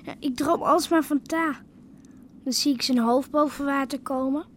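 Subdued high-voiced young village girl played by a woman. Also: steady tone, normal speed, slight background noise, natural voice. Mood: subdued, grieving. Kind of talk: naturalistic radio drama acting.

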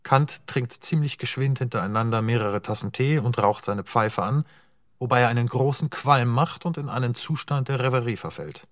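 A man narrating stage directions in a radio drama, reading in a matter-of-fact, even way. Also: mid-range voice, normal speed, no room sound, clean studio sound. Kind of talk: scripted narration.

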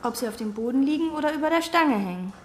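An actress playing a withdrawn young hotel chambermaid who answers in flat, clipped phrases. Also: female voice, sits high, fast talker. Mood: curt. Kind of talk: radio play acting with inner monologue.

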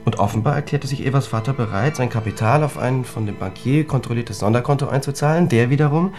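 An actor playing a sober scholarly man in a German radio drama, recounting a tragic history deliberately and precisely. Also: mid-range voice, close to an everyday voice. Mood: grave, explanatory. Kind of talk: German radio drama dialogue, explanatory storytelling. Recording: studio radio play with music.

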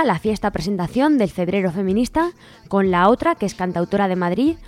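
A woman's voice: mid-range voice, a little mellow. Spanish woman announcing over music. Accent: Spain. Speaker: radio show host. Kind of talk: informal reading of event announcements. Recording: music playing behind the voice.

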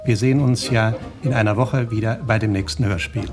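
A man's voice: on the low side, fairly even intonation, a little fast. Courteous old-radio male presenter over faint music. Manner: courteous, formal. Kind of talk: old radio host's formal sign-off.

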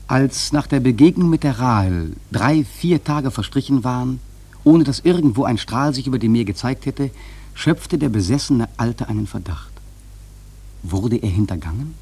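A man acting a grave sailor who narrates a radio play in a measured, solemn literary style with dramatic swells and a husky voice. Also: mid-range voice, lively intonation, mellow. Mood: solemn, ominous. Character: grave male sailor narrating a haunted whaling voyage.

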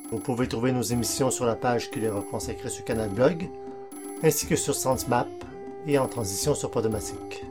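A man's voice: medium pitch, moderate pace. A man presenting a podcast, reading out closing credits in a scripted announcer style. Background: music.

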